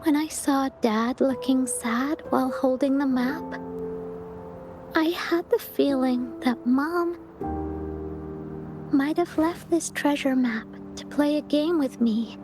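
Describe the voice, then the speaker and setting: A gentle, wistful little girl, high and slow, game music under. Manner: soft, earnest and wistful. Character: gentle young girl missing her mother. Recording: game soundtrack music behind.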